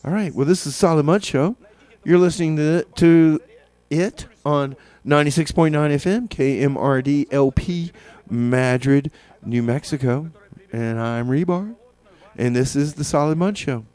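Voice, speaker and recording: A man's voice: fairly high pitch, unhurried, hardly any pauses, a little mellow, smooth. Casual, tongue-in-cheek male radio DJ. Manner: hesitant, tongue-in-cheek, repeats phrases for effect. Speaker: radio DJ and show host. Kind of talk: casual music radio DJ patter with comedy bits. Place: radio studio.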